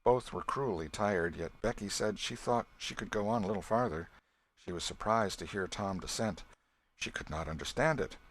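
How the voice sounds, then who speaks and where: A man narrating a classic novel as an audiobook in a measured storytelling manner. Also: deep voice. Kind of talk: scripted literary narration.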